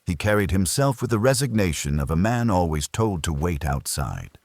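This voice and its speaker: A man narrating a scripted first-person detective story in a wry, deliberate manner. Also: deep voice, very animated, darkish tone, no echo.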